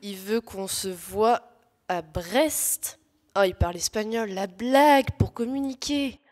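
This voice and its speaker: A woman's voice: medium pitch, slow, darkish tone, natural voice. A young woman playing a bored, whiny modern young wife in a comic audio drama, slangy and exasperated. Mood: sulky. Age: teenager.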